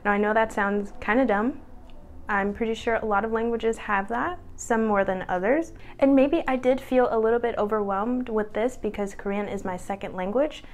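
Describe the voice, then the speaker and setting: A woman's voice: a little high, normal speed. A young woman in her twenties talking casually to the camera at home, chatty and upbeat, laughing at herself now and then. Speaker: vlogger.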